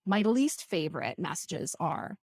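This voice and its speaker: A woman guest on a podcast who talks candidly and reflectively about work, lively and open. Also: on the low side, measured pace, creaky, husky, no echo, studio quality. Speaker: podcast guest, community team lead. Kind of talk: casual interview conversation.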